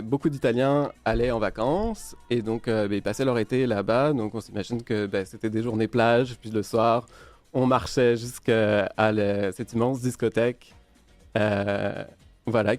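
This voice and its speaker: A man's voice: medium pitch, darkish tone, dry recording. A man with a French accent, a DJ guest on a podcast, explaining in a hesitant way with many fillers. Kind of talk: casual interview, explaining music history.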